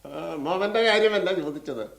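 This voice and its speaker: A man's voice: high voice, lively intonation, brisk, fairly bright tone. An actor playing a stern elderly father and family patriarch in a radio drama, commanding, with a southern Kerala Malayalam accent. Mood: commanding anger. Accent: Kerala Malayalam, southern Travancore. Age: older. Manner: commanding, peremptory. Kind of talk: mid-century Indian radio drama acting. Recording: roomy, echoing radio studio sound.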